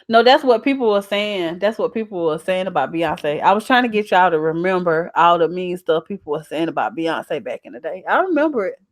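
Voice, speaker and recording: A woman's voice: on the low side, lively intonation, a little fast, few pauses, no echo, clean studio sound. A young adult woman with a Southern US accent, talking casually as a channel host in a folksy, emphatic way on a livestream. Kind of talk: casual livestream chat reading out viewer comments.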